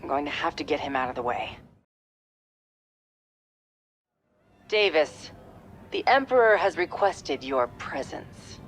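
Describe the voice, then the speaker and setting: A woman's voice: sits low, big pitch swings, moderate pace, a little hiss or hum. A woman playing a confident covert-ops soldier in a video game, with a clipped, tough, self-assured delivery. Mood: firm, urgent. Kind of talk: video game cutscene acting.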